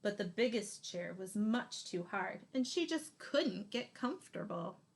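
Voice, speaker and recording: A woman in her thirties reads a children's picture book aloud expressively, leaning deliberately on key words. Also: medium pitch, animated, creaky. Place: small room indoors.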